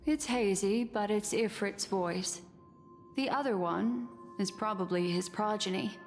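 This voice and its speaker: Casting: enigmatic game memory keeper female actor, measured, self-contained, unhurried, mid-pitched, with music behind. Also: natural voice. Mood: composed, musing. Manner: measured, musing to herself. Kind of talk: video game character acting. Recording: long reverb, music underneath.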